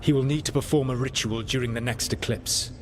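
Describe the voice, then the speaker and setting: An actor playing a proud, regretful ancient elven god in a video game, speaking in a deliberate, formal, reflective way. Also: male voice, medium pitch, steady tone, a little hiss or hum, close to an everyday voice. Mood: solemn. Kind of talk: dramatic video game acting.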